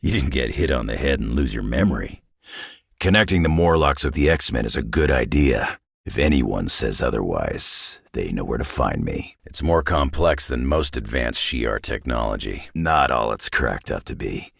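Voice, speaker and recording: A battle-worn older warrior from a video game, tough and wry. His voice sits extremely low and is thick with gravel and rasp. He talks in clipped, sardonic phrases that swing up and down, close and dry. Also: expressive, normal speed, dry recording, heavily acted. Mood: gruff, matter-of-fact. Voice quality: gravelly, raspy. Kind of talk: video game character voice lines.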